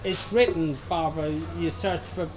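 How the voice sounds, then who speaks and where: A man's voice: fairly high pitch, steady tone, moderate pace, poor audio. A man asking questions as an interviewer in a casual, chatty style.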